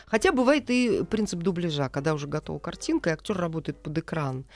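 A woman's voice: sits low, animated, fast talker, darkish tone. A woman speaking as an interview guest, explaining a craft step by step with examples. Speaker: guest animation director and screenwriter.

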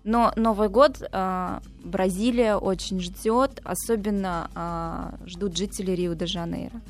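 A woman's voice: mid-range voice, steady tone, a little slow, soft background music. Enthusiastic woman guest with fillers. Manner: enthusiastic, hesitant with frequent fillers. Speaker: travel agency guest. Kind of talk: radio interview.

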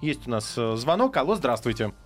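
A man's voice: mid-range voice, very animated, fairly quick, soft background music. A man presenting a live radio phone-in as host, speaking from a studio.

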